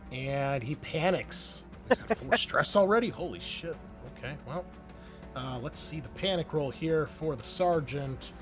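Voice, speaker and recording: A man's voice: on the high side, lively intonation, a little slow, music in the background. A man narrating a tabletop roleplaying game as game master, in a casual, conversational way. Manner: casual, conversational, mild swearing.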